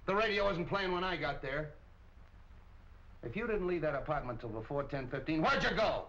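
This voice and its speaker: An actor playing a gruff, browbeating middle-aged police captain in a 1940s crime film. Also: male voice, a little high, expressive, moderate pace, fairly bright tone. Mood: badgering. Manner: gruff, badgering, clipped. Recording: muffled, hissy 1940 film soundtrack.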